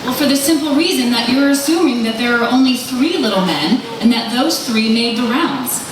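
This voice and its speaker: A woman's voice: on the high side, lively intonation, normal speed, lightly acted. A woman playing a stubborn, sarcastic newspaper reporter in an old-time radio drama, argumentative and emphatic. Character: sharp-tongued, stubborn female newspaper reporter. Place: live stage recreation in a large hall. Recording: echoey hall from far off, noisy.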